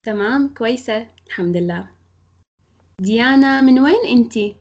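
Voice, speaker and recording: Female Arabic teacher, fairly high voice, very quick delivery, roomy sound. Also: expressive, a little mellow, muffled recording. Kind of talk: vocabulary reading and simple learner interview. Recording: echoey room.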